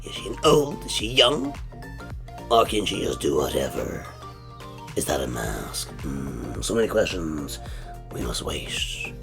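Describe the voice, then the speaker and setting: Animated man reading anime synopses. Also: fairly high pitch. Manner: animated, wondering aloud. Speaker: anime YouTuber. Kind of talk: reading synopses and casual commentary.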